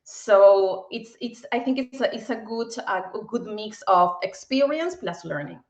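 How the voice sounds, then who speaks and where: A woman's voice: medium pitch, lively intonation, a little slow, darkish tone, echoey room, muffled recording. Upbeat woman with a Peruvian accent. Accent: Peruvian Spanish. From Peru. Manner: upbeat, repeats words while finding them. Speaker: guest, bank tech executive. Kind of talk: casual interview.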